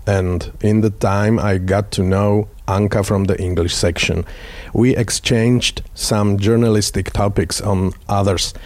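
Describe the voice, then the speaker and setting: Slovak-accented male journalist, low and plain, dry close mic, reads a measured tribute. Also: steady tone, darkish tone, no echo. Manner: plain, measured short sentences. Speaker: radio journalist. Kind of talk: prepared personal tribute.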